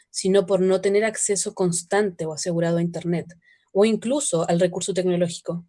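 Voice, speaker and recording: Woman acting mellow-voiced earnest radio news reporter. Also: mid-range voice, lightly acted. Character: earnest female radio news reporter looking back on 2020.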